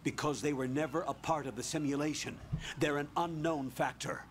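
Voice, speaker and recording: An actor playing a curt military commander. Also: male voice, fairly high pitch, steady tone, normal speed, a little hiss or hum, natural voice. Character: stern male military commander on radio.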